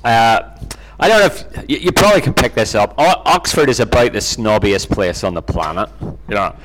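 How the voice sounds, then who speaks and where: A man's voice: fairly low pitch, expressive, moderate pace, background noise. A middle-aged man with a Northern Irish accent, telling personal stories in a conversational, self-deprecating way as an interview guest. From Northern Ireland, near Belfast. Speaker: interview guest, Bible teacher. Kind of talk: informal personal storytelling.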